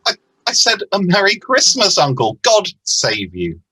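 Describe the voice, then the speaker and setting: A good-hearted, earnest nephew in a radio play, acted by a man. His husky voice is bright and very lively in pitch, pleading with hesitant starts, recorded close and dry. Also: a little slow, no room sound, exaggerated voice, poor audio. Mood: cheerful. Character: cheerful, earnest, forgiving nephew of a miser. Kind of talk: amateur radio play acting.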